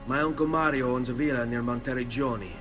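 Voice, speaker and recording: A man's voice: medium pitch, steady tone, fast talker, music in the background, lightly acted. An actor playing a young Italian nobleman hero, speaking earnestly and with resolve in an Italian accent. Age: young adult.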